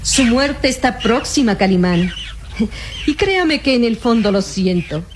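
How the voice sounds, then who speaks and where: Cunning young villainess. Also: female voice, on the high side, animated, a little slow, faint music behind. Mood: smug menace. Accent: Latin American. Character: cunning young female impostor, coolly ruthless treasure seeker. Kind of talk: Latin American radio serial acting.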